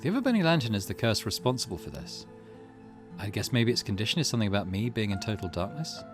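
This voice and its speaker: A man voicing a wary, excitable young hero of a visual novel, full of questions. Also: on the low side, fast talker. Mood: musing. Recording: music behind the voice.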